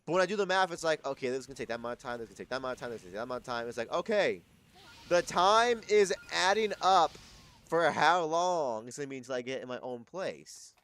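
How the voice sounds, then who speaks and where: Young man with a lively, swinging voice. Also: a little high, fast talker. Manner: rambling, self-correcting, swears freely. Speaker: live streamer. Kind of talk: casual rambling stream chat.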